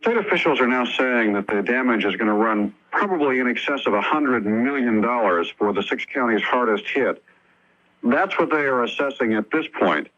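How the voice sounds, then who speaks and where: An American man reports the news as a correspondent, speaking in a loose, unscripted way. His pitch is middling, and the radio sound is dull and lo-fi. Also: expressive, moderate pace, fairly bright tone.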